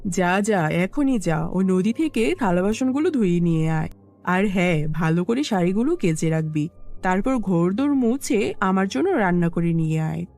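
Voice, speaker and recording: A woman playing a bossy middle-aged village stepmother in an animated fairy tale. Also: on the low side, moderate pace, soft background music. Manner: scolding, taunting, turns pleading when caught.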